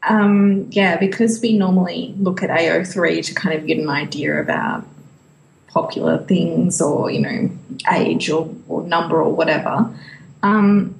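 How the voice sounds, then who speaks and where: Female co-host, informal and slightly halting, noticeable vocal fry, lowish pitch. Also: very animated, rough recording. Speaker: podcast co-host. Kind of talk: casual podcast discussion.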